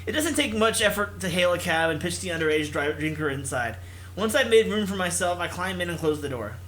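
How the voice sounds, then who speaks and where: A man's voice: high voice, animated, a little fast, fairly bright tone, a little hiss or hum. A man reading visual novel text aloud with casual commentary, in a theatrical, jokey manner. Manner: theatrical, jokey, puts on character voices. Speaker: host of a visual novel playthrough.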